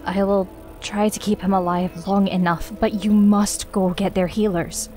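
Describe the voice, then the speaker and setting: A woman playing a somber, resolute female druid healer, speaking gravely and earnestly in a dramatized fantasy audiobook. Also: mid-range voice, flat delivery, measured pace, dark tone, a little hiss or hum, natural voice. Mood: urgent, grim.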